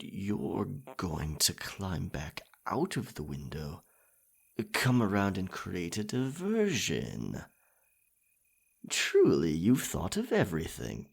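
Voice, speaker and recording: A sly, domineering pirate captain for roleplay ASMR. His low voice is very husky and raspy, and he talks slowly and theatrically, with menace and dark amusement. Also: heavily acted. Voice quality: raspy, gravelly. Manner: slow, deliberate, theatrical pirate talk.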